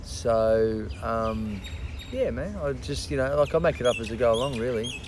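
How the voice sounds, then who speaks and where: A man chatting as a podcast guest, halting and full of restarts and fillers, swearing casually. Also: medium pitch, lively intonation, noisy background. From Australia. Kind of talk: casual interview chat.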